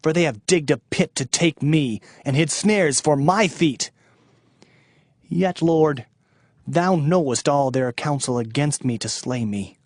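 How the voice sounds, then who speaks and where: A man's voice: on the high side, takes it slowly, no echo, clean studio sound. A man playing an impassioned Hebrew prophet who solemnly proclaims doom and judgment in a dramatized scripture reading. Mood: bitter pleading. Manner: solemn, declamatory, emphatic. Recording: music bed under the voice. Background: music.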